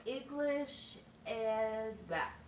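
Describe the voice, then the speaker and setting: A woman's voice: a little high, very slow. A woman voicing an earnest schoolgirl in a puppet show, answering in short, simple, hesitant phrases. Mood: earnest, unsure. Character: schoolgirl puppet named Rose, earnest and brief. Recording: roomy, distant mic, faint noise.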